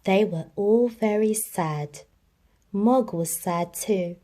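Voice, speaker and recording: A woman reading a children's story aloud in an expressive, dramatic storytelling manner. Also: medium pitch, slow pace. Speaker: storybook reader.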